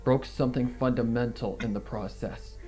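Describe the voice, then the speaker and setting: A man's voice: medium pitch, fairly even intonation, moderate pace, warm tone, lightly acted, rough recording. A man reading a horror fan fiction as a frightened, guilt-ridden female pony, in a shaky, dramatic manner. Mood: guilty, haunted. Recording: music under the reading.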